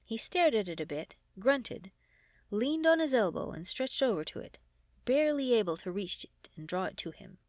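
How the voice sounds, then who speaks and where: A woman narrating a story as an audiobook reader, in an expressive, animated storytelling manner. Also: on the high side, moderate pace, no echo, clean studio sound.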